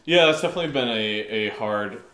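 Male co-host, low register, sharp bright tone, rambling informal chat. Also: animated, fairly quick. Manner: rambling, peppered with "like". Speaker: audio drama writer and voice actor, co-host. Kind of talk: casual Q&A chat.

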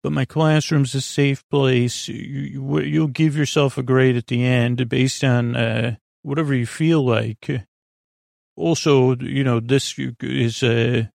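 A man hosting a bedtime podcast in a meandering, hesitant, reassuring monologue, with a creaky voice. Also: a little mellow, no room sound, clean studio sound. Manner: low-pressure, reassuring. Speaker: podcast host.

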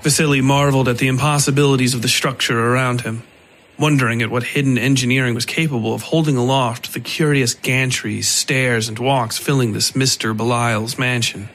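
A man reading scripted dark fiction as the narrator of a story podcast. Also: medium pitch, low-quality recording. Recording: faint noise behind the voice.

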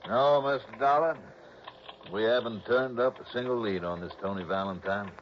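A drawling small-town police captain, played by a man, unhurried and folksy with a lowish voice. The vintage broadcast sounds dull, with a faint hiss. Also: lightly acted. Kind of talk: 1960s radio drama acting. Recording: dull old radio broadcast.

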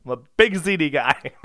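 A man with a high, bright voice and a very animated delivery. He talks casually and comically as a podcast co-host, excitable and prone to giggly protest. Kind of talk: casual comedic storytelling.

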